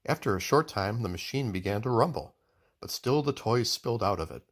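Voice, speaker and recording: A man narrating a children's book aloud in an expressive storytelling style. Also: on the low side, a little fast.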